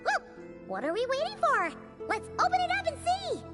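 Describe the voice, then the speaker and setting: A woman voicing a small, childlike, excitable fairy companion in a video game, blunt and full of exclamations. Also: extremely high pitch, moderate pace, full character voice. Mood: excited. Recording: music playing behind the voice.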